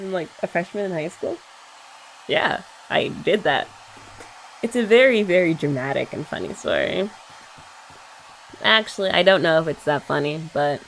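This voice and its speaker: Young woman, ASMR girlfriend roleplay, sweet and soft-spoken, slightly low pitch. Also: a little hiss or hum, poor audio. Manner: soft, chatty and sweet, often laughing softly.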